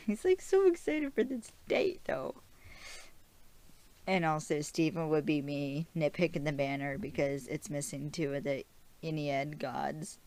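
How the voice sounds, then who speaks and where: A woman's voice: low-pitched, expressive, unhurried, a little mellow. A woman hosting a fan show, recapping a TV episode in a casual, chatty way with lots of fillers and small giggles. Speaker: podcast host.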